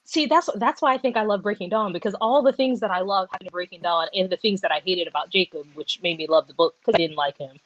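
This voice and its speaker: A woman's voice: medium pitch, fast, hardly any pauses, no echo. Woman, podcast host, casual book discussion, rambling, self-correcting, dry close sound, muffled lo-fi audio.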